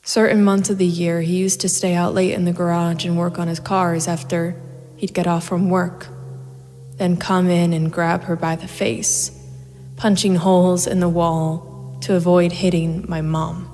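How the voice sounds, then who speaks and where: A woman's voice: on the low side, flat delivery, measured pace, a little mellow, in a large hall. An actress playing a cold, methodical female private investigator and vigilante, narrating a noir audio drama in a hard-edged, matter-of-fact way. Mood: bitter, resolute. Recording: music bed behind the voice.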